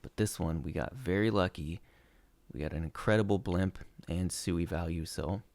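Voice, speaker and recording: A young man walks through his game replays with relaxed play-by-play, his low voice gravelly and frayed as he loses it. The sound is dry and close, with no room around him. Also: normal speed, dry recording. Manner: relaxed play-by-play with frequent uh fillers. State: losing his voice. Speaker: gaming channel host. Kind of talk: commentating over gameplay replays.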